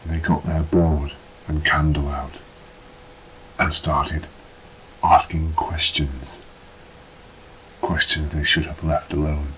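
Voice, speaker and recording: A man playing a sinister supernatural entity in a horror radio play, speaking with menacing, taunting pauses. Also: very deep voice, little pitch movement, pushed and bright, full character voice. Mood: ominous storytelling. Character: sinister male supernatural entity phoning in to torment. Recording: noisy, hissy phone-in line.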